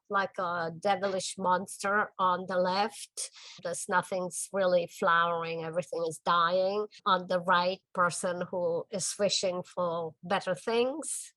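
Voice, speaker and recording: An older woman from the Czech Republic with a Czech accent, talking about her art in a documentary interview in her home studio, gentle and thoughtful with small pauses. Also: lowish voice, no room sound, poor audio. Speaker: artist.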